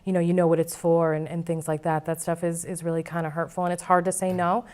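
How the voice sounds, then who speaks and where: Smooth, even-toned woman with lowish pitch. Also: quick pace. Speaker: interview guest, former client now board member. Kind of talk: candid personal testimony in conversation.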